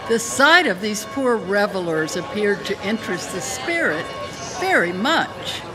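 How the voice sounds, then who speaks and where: A woman's voice: mid-range voice, sing-song, measured pace, music in the background, crowd noise. A woman narrating a Victorian tale in a live dramatic reading. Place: live in a church. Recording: reverberant hall.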